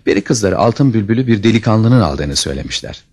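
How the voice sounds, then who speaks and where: A man's voice: on the low side, very animated, brisk, a little mellow. A man narrating a scripted fairy tale as a storyteller, with dramatic expression.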